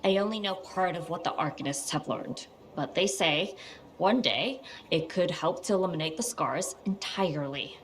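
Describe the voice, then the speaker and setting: Earnest, slightly low-voiced woman scout played by an actress. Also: moderate pace, lightly acted. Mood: matter-of-fact. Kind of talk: video game cutscene acting.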